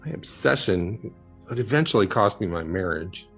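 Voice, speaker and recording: A man playing a haunted, earnest UFO witness in an audio drama, telling his story haltingly. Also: on the low side, lively intonation, gravelly, fairly bright tone, husky, faint music behind, exaggerated voice.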